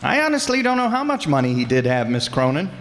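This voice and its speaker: A man's voice: on the high side, wildly swooping pitch, quick pace, fairly bright tone. Man acting a shrewd radio detective in a reverberant hall. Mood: smug, knowing. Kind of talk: old-time radio detective drama acting. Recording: live stage performance in reverberant hall.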